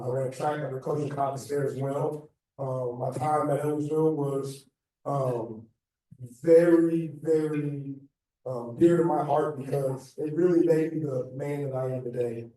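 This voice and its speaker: Man, American accent, slightly raspy, soft-toned, football coach giving an informal talk, distant mic, heavy room echo. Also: mid-range voice, slight background noise. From Illinois, United States. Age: 30s. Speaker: guest speaker, football coach. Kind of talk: informal motivational club talk. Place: echoey indoor meeting room.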